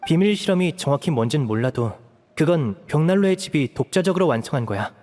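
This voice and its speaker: Dutiful young male leader for a game, acted by a man: fairly high voice, polite, measured, reverberant sound. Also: close to an everyday voice. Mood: calm, reassuring. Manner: calm, measured, explanatory. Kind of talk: video game voice acting.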